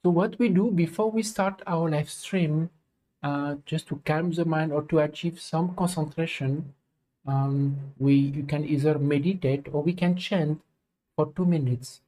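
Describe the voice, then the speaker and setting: A middle-aged man from France with a French accent, hosting a live stream in halting, filler-filled English. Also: on the high side, mellow, a little hiss or hum, low-quality recording. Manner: halting, many fillers, non-native English phrasing. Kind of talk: casual spoken introduction.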